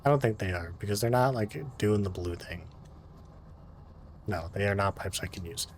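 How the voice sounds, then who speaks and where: A man's voice: on the low side, moderate pace. Rambling man narrating his gameplay. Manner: rambling, talks to himself, self-mocking; trails into mumbling and humming while playing. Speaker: gaming channel host. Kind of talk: casual gameplay commentary.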